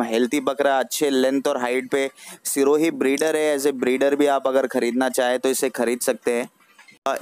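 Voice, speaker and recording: A man's voice: medium pitch, a little mellow, smooth, no room sound. Man presenting, close and dry. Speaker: video channel host. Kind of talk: vlog presentation of livestock for sale.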